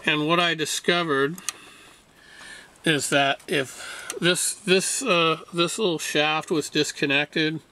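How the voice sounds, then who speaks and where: Male hobbyist, unhurried and matter-of-fact, bright-edged voice, informal demo narration over some background noise. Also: a little high. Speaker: hobbyist radio restorer. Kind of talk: informal hands-on demonstration narration.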